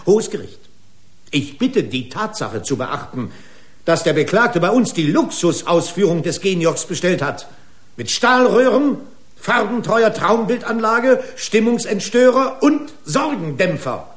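An actor playing a pedantic, accusing corporate lawyer in a German radio drama, speaking in formal, pompous legal style. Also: male voice, sits high, sing-song, unhurried. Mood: pedantic, indignant.

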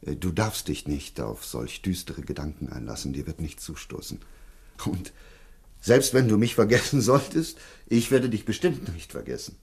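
An actor playing an earnest, protective middle-aged investigator in a German radio drama, hesitant and repeating himself. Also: male voice, deep voice, animated, normal speed, gravelly, slightly rough, heavily acted. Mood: tender, awkward. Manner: hesitant, stammering repetitions, earnest.

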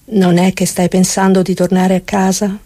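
A woman acting a husky, anxious, tender mother. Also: lowish voice, little pitch movement, normal speed, dark tone, no room sound, lightly acted. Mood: tender worry. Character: anxious, tender mother writing to her daughter. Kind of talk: dramatic reading of a letter in radio theatre.

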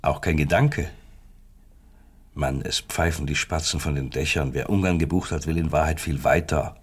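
An actor playing an insistent state security interrogator in a radio drama, sardonic and falsely helpful, with a husky voice. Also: male voice, very deep voice, normal speed. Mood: probing, accusing. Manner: pressing, leading questions, sardonic.

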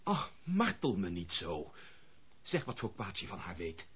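Eager young posh bachelor in an old radio drama, super animated, exclaims a lot, tinny lo-fi sound. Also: male voice, deep voice, measured pace, husky, exaggerated voice. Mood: anxious, impatient. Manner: theatrical, exclamatory, old-fashioned genteel phrasing. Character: eager young gentleman bachelor, excitable, amused, curious about his bride. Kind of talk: Dutch radio play acting. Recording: muffled, phone-like old radio recording.

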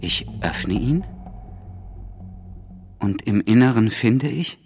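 A man acting as a meticulous car-factory worker who narrates a scripted audio drama in a precise, deliberate manner. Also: mid-range voice, expressive, a little mellow, faint music behind. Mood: methodical, absorbed.

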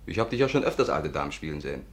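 An actor in a 1950s German radio drama playing a breezy, self-assured sleuth, brisk and coaxing, on an old recording. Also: male voice, extremely low pitch, very animated.

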